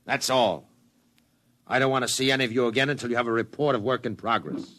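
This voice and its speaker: A man's voice: medium pitch, fast, background noise, lightly acted. An actor playing a ruthless, imperious industrialist in 1950s radio drama style, curt and commanding with his underlings.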